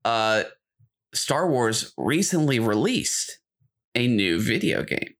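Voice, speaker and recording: A man's voice: mid-range voice, big pitch swings, slow, fairly bright tone. A man co-hosting a podcast, chatting casually and giving opinions in an informal way. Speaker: podcast co-host. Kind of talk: casual discussion chat.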